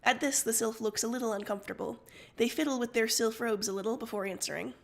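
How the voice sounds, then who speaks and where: A woman's voice: a little high, quick pace, vocal fry. A woman reading second-person fiction aloud as the narrator of a livestreamed story.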